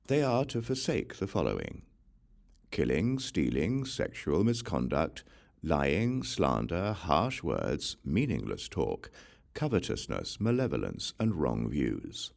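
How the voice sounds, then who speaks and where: Very deep, gravelly male scripture reader. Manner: measured, solemn reading with lively rises and falls. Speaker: audiobook reader. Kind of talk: reading a scripture aloud.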